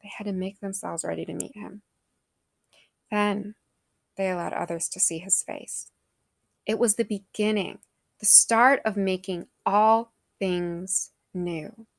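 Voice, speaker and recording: A woman's voice: medium pitch, fairly even intonation, unhurried, creaky, dry recording. Earnest woman giving a reflective devotional talk. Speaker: speaker giving a faith reflection.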